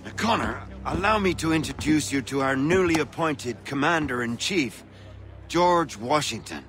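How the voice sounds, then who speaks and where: A man playing a sociable, smooth-talking statesman in a video game cutscene, polite and diplomatic. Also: a little high, animated, normal speed, fairly bright tone. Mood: cordial, diplomatic.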